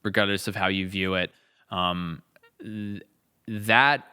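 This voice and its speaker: A man talks casually on a podcast in a bright, forceful voice. He reflects on his own writing, rambling as he thinks aloud and leaning hard on key words. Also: fairly low pitch, fairly even intonation, slow pace, smooth. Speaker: audio drama writer and podcast co-host.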